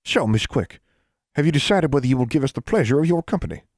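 A man voicing a stern, folksy great-grandmother with an Ozark accent, scolding in a high drawling voice. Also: lively intonation, quick pace, darkish tone, no echo, clean studio sound. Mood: curious. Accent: Ozark rural American. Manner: scolding, drawling, high put-on old-woman voice. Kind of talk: audiobook character voice.

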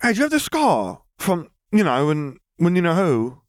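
An awestruck eleven-year-old boy tumbles over his own eager questions, as a male audiobook reader puts him on. The voice is pushed high and quick for a man. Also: wildly swooping pitch.

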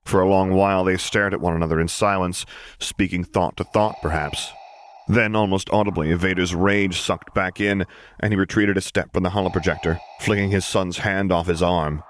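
A man's voice: sits low, steady tone, moderate pace, slight background noise. A man reading a story aloud as dramatic, scripted audiobook narration.

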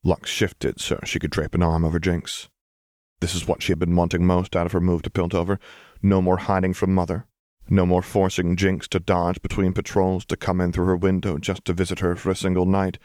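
A man's voice: low-pitched, completely flat delivery, brisk, a little mellow, no echo, clean studio sound. A man reading scripted fiction as an audiobook narrator.

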